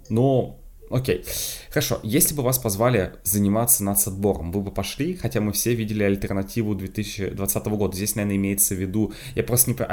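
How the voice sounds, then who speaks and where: A young man from Moscow co-hosting a podcast in casual chat, talking quickly and breaking into laughs. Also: lowish voice. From Moscow, Russia. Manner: quick, lively, laughs often, restarts. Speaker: podcast co-host.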